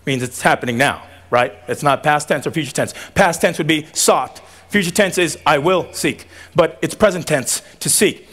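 Animated man preaching at a graduation. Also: on the high side, normal speed, hardly any pauses. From Tonga. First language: Tongan. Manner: animated, joking, audience call-and-response. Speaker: commencement speaker. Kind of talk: lively commencement sermon. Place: outdoor tent stage at a graduation.

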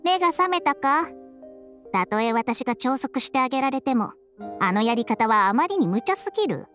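A woman's voice: high-pitched, very animated, normal speed, a bit bright. A woman voicing a stern but caring talking snake spirit in an anime game, scolding frankly over music. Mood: concerned, scolding. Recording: music behind the voice.

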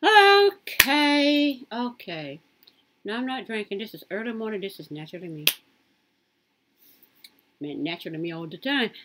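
A woman in her late fifties rambling through her life story in a casual, lively way. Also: moderate pace, long pauses, background noise. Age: 50s. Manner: lively, swoops high when quoting others.

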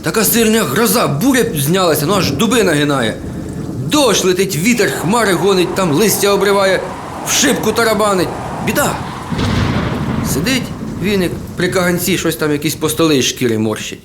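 A man's voice: sits high, expressive, normal speed, background noise. A man telling a folk tale in a colloquial, folksy way with playful asides. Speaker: storyteller. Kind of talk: oral folk tale storytelling. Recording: guitar music behind the voice. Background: plucked guitar music.

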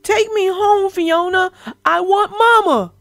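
A woman's voice: extremely high pitch, takes it slowly, a bit bright, no echo, voice pushed up, full character voice. A woman voicing a nervous, fretful baby boy hippo in a children's storybook. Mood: frightened. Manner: hesitant, fretful.

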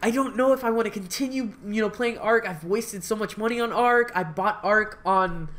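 A man's voice: sits high, moderate pace, fairly bright tone. A man in his thirties hosting a casual live reaction, rambling and exclaiming in slang, recorded in a room at home. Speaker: reaction video host. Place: home room with kitchen, indoors.

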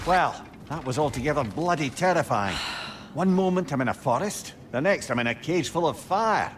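An actor playing a wry, chatty old talking head and loyal advisor with a Scottish accent in a video game. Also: male voice, a little high, expressive, normal speed, slight background noise, natural voice. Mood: shaken, wry. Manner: chatty, wry, earnest persuasion. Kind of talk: video game dramatic acting. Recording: game audio under music.

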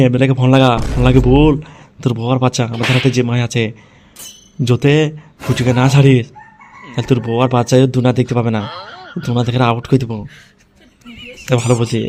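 Guy voicing cartoon gangster kidnapper, blunt threats, flat tone, some background noise. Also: medium pitch, brisk, pauses often, natural voice. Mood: bossy. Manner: bullying, blunt. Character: threatening, foul-mouthed male gangster kidnapper. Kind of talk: amateur comedy cartoon dub.

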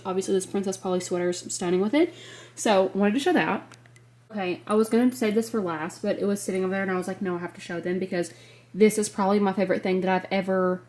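A woman's voice: mid-range voice, a little mellow. An American woman in her twenties with a slightly congested, nasal voice, chatting casually and gushingly as a fashion vlogger into a handheld camera. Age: 20s. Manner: gushing, emphatic, frequent "like". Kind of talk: casual clothing haul chat. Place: bedroom, indoors. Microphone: handheld compact camera at arm's length.

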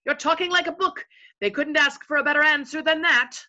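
Woman as high, eager fawning invisible servant. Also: fairly quick, bright, forceful tone, muffled recording. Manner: repetitive, eagerly agreeing.

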